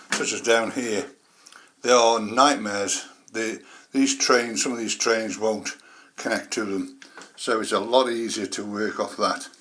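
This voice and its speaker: A man's voice: lowish voice, a bit bright. A man presenting an informal hobby tutorial, explaining things step by step in a rambling, hands-on way. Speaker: model railway hobbyist presenter.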